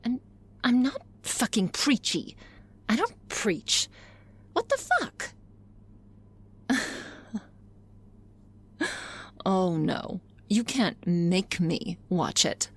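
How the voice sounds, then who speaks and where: A woman's voice: medium pitch, big pitch swings, breathy edge, full character voice. A woman playing a blunt, confident female office boss who talks frankly and wryly in an intimate ASMR roleplay. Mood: teasing, wry. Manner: frank, profane, wry, musing with hesitant pauses. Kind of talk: intimate ASMR roleplay, conversational monologue to listener.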